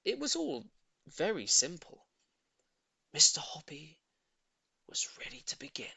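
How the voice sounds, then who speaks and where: A man reading a children's story in an expressive, theatrical narrating style. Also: a little high, measured pace, creaky, husky, no echo. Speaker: audiobook reader.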